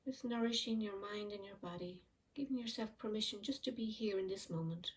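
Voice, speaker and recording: A woman leading a guided mindfulness meditation, speaking slowly and softly with long pauses. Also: mid-range voice, recorded from a distance, poor audio. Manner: slow, soft and soothing, with frequent pauses. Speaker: meditation teacher.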